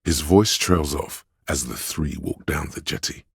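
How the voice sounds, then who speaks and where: A man with a gravelly, husky voice, narrating a video game in a measured, solemn, literary and dramatic style. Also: a bass voice, dry recording, studio quality. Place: close, dry studio.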